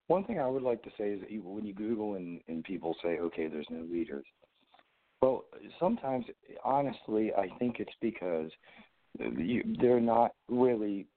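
A man calling in to a talk show with a sore, froggy throat, rambling with many restarts. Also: lowish voice. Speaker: caller, fellow show host. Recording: tinny phone line.

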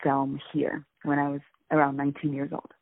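A woman from Poland, an actress, being interviewed over the phone, answering thoughtfully with many ums and pauses. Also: deep voice, completely flat delivery, creaky. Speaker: guest actress. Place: on a phone call. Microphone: telephone. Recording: tinny phone line, dry.

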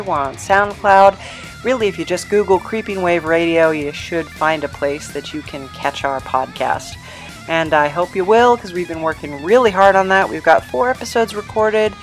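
A woman with a lowish voice hosts her podcast over music. She talks casually and informally, rambling in a chatty, self-deprecating way. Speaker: podcast host and creator.

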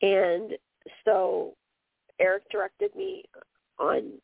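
A woman's voice: mid-range voice, big pitch swings, drawn-out words, vocal fry, slightly rough, dry recording. A woman with a Canadian accent, co-hosting a radio show as a medium and speaking in an earnest, meandering way with frequent fillers. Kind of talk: casual radio talk. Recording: dry tinny phone line.

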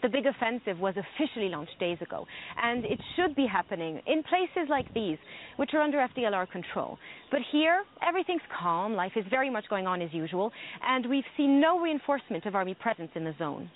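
A woman field reporter talks quickly and asks pointed questions. Her voice is mid-pitched, heard through a narrow, phone-like sound. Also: very animated, slight background noise. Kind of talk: news interview and field report. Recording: tinny phone-like playback.

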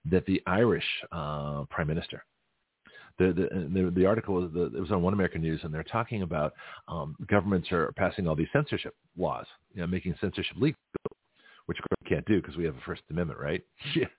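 A man's voice: sits low, fast, husky, no echo. A middle-aged man hosting a talk radio show, rambling in a casual monologue with frequent restarts and chuckles. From Canada. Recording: tinny phone-line audio.

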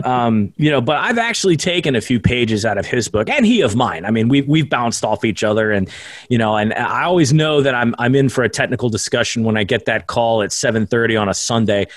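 A man's voice: medium pitch, expressive, a little fast, no room sound. A young adult man, a self-taught radio broadcaster, chatting as a guest in a casual interview with jokey, self-deprecating asides. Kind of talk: casual podcast interview chat. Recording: dry close sound.

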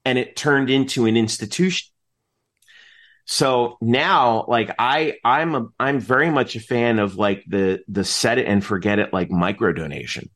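A man's voice: medium pitch, a little slow. A middle-aged man talking casually as an interview guest, explaining in a rambling way and often interrupting himself mid-thought. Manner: rambling, animated explaining with frequent self-interruptions. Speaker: interview guest, announcer and teacher.

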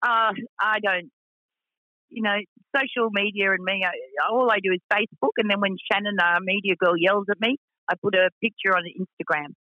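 A woman with a deep voice who owns a racing team, talking frankly with lots of fillers in a radio interview. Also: fairly even intonation, normal speed, dry recording, dull audio. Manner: frank, chatty, many fillers.

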